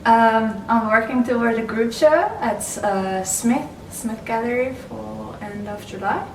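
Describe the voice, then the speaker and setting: A woman answers questions slowly, pausing to find her words. She sounds far from the mic in an echoey studio with steady background noise. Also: a little high, gravelly. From Iran. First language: Persian. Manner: hesitant, searching for words. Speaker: artist interviewee. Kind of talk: casual interview.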